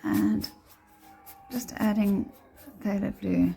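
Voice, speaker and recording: A woman with a slightly croaky voice giving a casual step-by-step painting tutorial, thinking aloud in a halting way. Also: medium pitch, flat delivery, dark tone. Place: indoors, home painting room.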